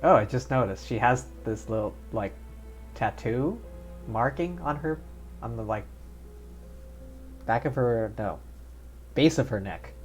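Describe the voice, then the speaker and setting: A male streamer makes wry, joking remarks over a game cutscene. He talks slowly at a mid pitch, with faint game music playing behind him. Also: lively intonation. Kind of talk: casual let's play commentary.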